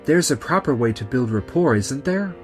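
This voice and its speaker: A man's voice: mid-range voice, lively intonation, normal speed, faint music behind, lightly acted. A man voicing an earnest, polite young clinic nurse who also narrates a manga dub. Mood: questioning. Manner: polite, sincere. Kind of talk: scripted dub acting and narration.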